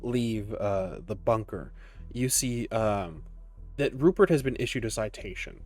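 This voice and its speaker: A man improvising narration as game master of a tabletop roleplaying game, often pausing mid-sentence. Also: on the low side, lively intonation, darkish tone. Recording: music behind the voice. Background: background music.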